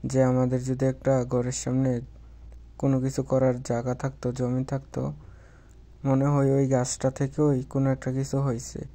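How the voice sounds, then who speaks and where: A man's voice: mid-range voice, warm tone, lightly acted. An actor playing a poor, worried village father and husband in a children's cartoon, with plain rustic, plaintive speech.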